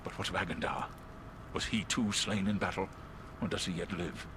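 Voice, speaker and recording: A man playing a wounded, proud, grieving commander in a fantasy game, speaking formally and wearily in a breathy voice. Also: sits low, noisy background, close to an everyday voice. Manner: formal, weary, halting. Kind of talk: fantasy video game cutscene acting. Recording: loud music behind the voice.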